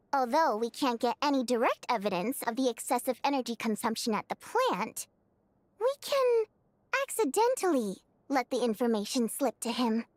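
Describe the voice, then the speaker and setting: A lively, scheming young rebel organizer. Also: female voice, high-pitched, dry recording. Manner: brisk, confident, scheming. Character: upbeat young woman leading an underground group. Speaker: game character. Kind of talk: voiced video game dialogue.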